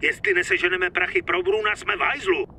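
A man's voice: high voice, steady tone, pushed and bright, slight background noise. An actor playing a hot-headed, foul-mouthed mobster in his thirties, with coarse, blunt delivery in a dubbed crime game. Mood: brusque. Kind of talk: dramatic dubbed video game acting.

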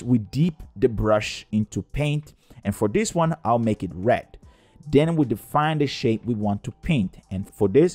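A man's voice: mid-range voice, darkish tone, dry recording. A man narrating a scripted step-by-step coding tutorial in a methodical, explanatory way. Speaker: tutorial presenter.

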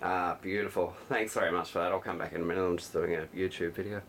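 A man casually walking through the controls of a CB radio at home, talking in a relaxed, chatty way. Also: low-pitched, fast talker, gravelly, very noisy. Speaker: radio seller demonstrating a CB set. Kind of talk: casual product demonstration. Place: indoors, room at home.

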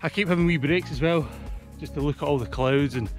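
A man's voice: a little high, brisk, faint music behind. A Scottish man in his thirties, slightly out of breath, chatting casually to camera as a hiking vlogger outdoors on a mountainside. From Scotland. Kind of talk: casual vlog chat.

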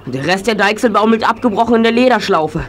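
A young actor playing an excitable, helpful boy in a German children's audio drama. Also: high voice, fast, a little hiss or hum. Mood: agitated.

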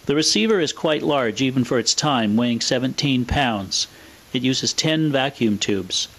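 A man with a mid-pitched voice delivers scripted, explanatory narration. He is methodical and matter-of-fact, laying out technical details in an orderly way, as the presenter of an equipment walkthrough. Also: animated, moderate pace, fairly bright tone. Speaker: presenter of a vintage radio equipment video.

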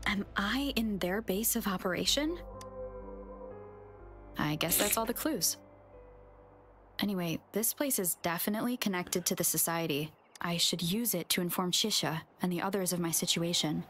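A woman's voice: fairly low pitch, normal speed, fairly bright tone, lightly acted. An actress voicing a level-headed young woman adventurer thinking aloud in a video game, thoughtful and matter-of-fact. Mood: focused, pensive. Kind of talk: video game inner monologue. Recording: faint game music behind.

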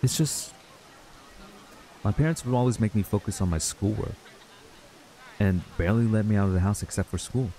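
Low, mellow young man, acted out by a man. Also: moderate pace, pauses often, natural voice, rough recording. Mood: earnest. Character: laid-back young man reuniting with childhood friend, wistful. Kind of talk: ASMR roleplay acting, intimate and conversational.

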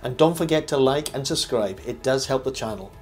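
A man's voice: medium pitch, expressive, brisk. Older man narrating over background music. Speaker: campsite review host.

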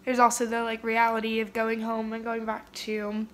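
A young woman in her twenties talking candidly to a camera in her apartment, sharing her feelings in a soft, reflective way. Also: fairly high pitch, monotone, moderate pace. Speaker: vlogger. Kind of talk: casual vlog to camera. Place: indoors, apartment living room. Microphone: camera in front of her.

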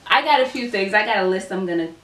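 A woman's voice: mid-range voice, expressive, fast talker, fairly bright tone. A nineteen-year-old woman hosting a casual faith chat with friends on a couch, talking animatedly and laughing often. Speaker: host. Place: living room, group on a couch. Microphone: camera mic at a distance. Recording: echoey room, distant mic, faint noise.

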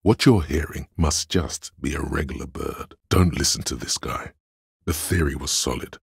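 A man voicing the cold, dry inner logic of a detective in a video game, measured and analytical, with a gravelly, husky delivery. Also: a bass voice, no room sound, full character voice. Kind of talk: scripted video game voice acting, second-person narration.

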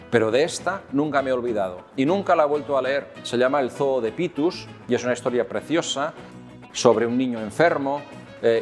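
A man in his fifties with a Catalan accent speaks in a calm, measured way, at an average pitch and with frequent pauses on "eh". He talks straight to the camera in a room with tall windows. Faint music plays behind him. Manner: calm, measured, with many "eh" fillers. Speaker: children's author answering questions. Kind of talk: recorded talk to camera for children.

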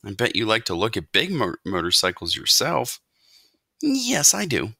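A young storybook boy, put on by a woman, talking like a friendly co-conspirator. The voice sits a little raised, bright and animated, with a slightly bossy, confiding manner. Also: very deep voice, normal speed, gravelly, no room sound, studio quality, voice pushed up, full character voice. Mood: cautioning. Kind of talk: children's storybook character voice.

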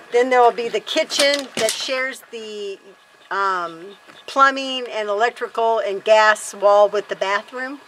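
A woman's voice: a little high, fairly bright tone, a little hiss or hum, poor audio. A woman in her fifties chatting casually to camera outdoors on a wooden deck, rambling and correcting herself as she talks. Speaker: homesteader vlog host.

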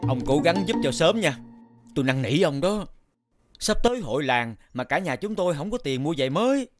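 A man voicing a polite, pleading village customer in a children's animated fairy tale. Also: on the high side, a little fast. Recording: music under the voice. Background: music.

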